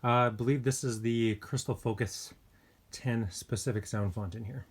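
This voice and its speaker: A man giving a casual hands-on gadget review, speaking informally and hesitantly with pauses mid-thought. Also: medium pitch, fairly even intonation. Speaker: product reviewer. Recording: clear background noise with clicks.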